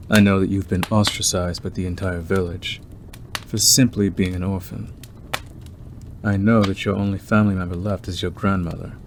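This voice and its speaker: Man playing a deep, slow, obsessive werewolf suitor. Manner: slow, deliberate, softly menacing and teasing. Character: obsessive predatory male werewolf, wolfish, smitten stranger. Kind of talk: ASMR roleplay monologue to the listener. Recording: clearly noisy background ambience.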